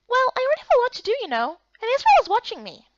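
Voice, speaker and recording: High, bubbly little brownie girl. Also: wildly swooping pitch, very fast, no room sound, lo-fi sound, heavily acted. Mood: bubbly, helpful. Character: small, chirpy, eager young brownie girl. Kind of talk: voiced visual novel dub.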